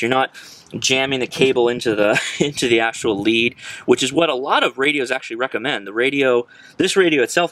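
A man's voice: medium pitch, fairly bright tone, dry recording. A young American man giving a casual, informal how-to walkthrough, explaining as he goes. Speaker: how-to presenter. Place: in and beside a parked car outdoors.

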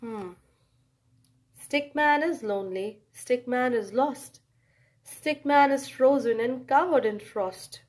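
High-voiced, slow-paced woman storyteller. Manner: deliberate, dramatic storytelling. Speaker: children's storybook reader. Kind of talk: expressive read-aloud narration for children.

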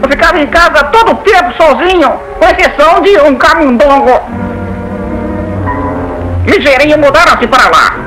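A very high-pitched, neurotic cartoon duck with a Brazilian accent. He recounts his troubles anxiously, stammering and pleading, with loud music playing behind him. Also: moderate pace, pauses often, rough recording. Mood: anxious recounting. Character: neurotic male cartoon duck confessing strange cravings. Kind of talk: Brazilian cartoon dub narration.

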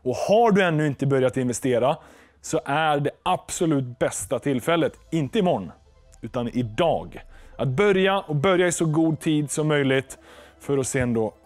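A man's voice: on the high side, expressive, darkish tone, no room sound, soft background music. A young man explaining investing in front of a chart screen in a studio, speaking steadily into a lapel mic. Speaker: finance educator.

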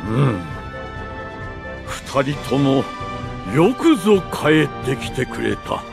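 An actor playing a stern, solemn old wizard master in a Japanese game, speaking gravely and ceremonially. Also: male voice, medium pitch, big pitch swings, slow pace, over loud music, heavily acted. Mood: grave, formal. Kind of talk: formal Japanese game cutscene acting.

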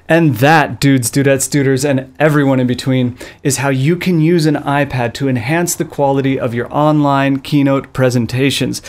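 A man in his thirties hosting a loose tech tutorial from a small studio, speaking close into a boom-arm microphone with playful, goofy asides. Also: darkish tone. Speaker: video tutorial host. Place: small studio with a blue backdrop. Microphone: studio mic on a boom arm, close.

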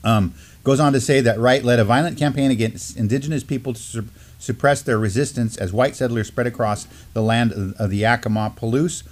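A man's voice: mid-range voice, steady tone, fairly quick. Middle-aged American man, informal news host. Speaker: co-host of a Native news live stream. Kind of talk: informal news discussion, partly reading articles aloud. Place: small indoor studio, seated at a desk.

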